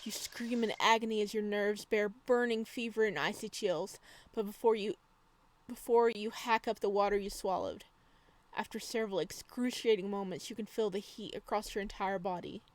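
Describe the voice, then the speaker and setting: A woman's voice: mid-range voice, steady tone, moderate pace. A woman hosting a gaming video, reading visual novel narration aloud. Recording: some background noise under voice.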